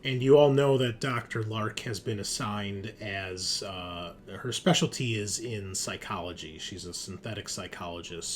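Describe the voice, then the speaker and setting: A man narrating a tabletop roleplaying game as game master, in an informal, halting way. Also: low voice. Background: music.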